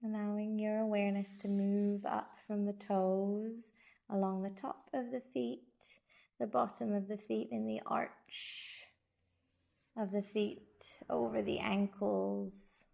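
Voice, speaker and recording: A woman leads a guided meditation very slowly, leaving space between short, gentle phrases. Her voice is soft-edged and mellow, with a noticeable creak, and she soothes as she instructs. The recording sounds a little dull. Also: mid-range voice, little pitch movement. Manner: soothing, unhurried, gentle instruction with long pauses. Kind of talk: guided body scan meditation.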